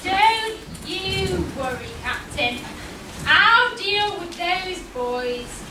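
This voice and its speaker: Slow, high-voiced fawning pirate first mate played by youngster. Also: lively intonation, heavily acted. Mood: soothing. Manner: obsequious, deliberate. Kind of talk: stage pantomime acting. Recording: echoey hall, far from mic, noisy.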